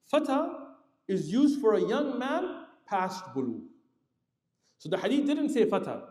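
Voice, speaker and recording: A man preaching a sermon, telling a story with lively explanatory asides. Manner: animated, lively pitch swings, explanatory asides. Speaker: Islamic preacher. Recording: roomy hall reverb.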